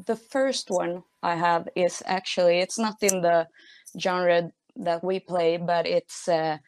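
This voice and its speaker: A woman's voice: lowish voice, fairly even intonation, slow pace, darkish tone. A woman from Sweden with a Swedish accent, a musician chatting casually as an interview guest, hesitant and often laughing. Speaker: guest, punk band musician.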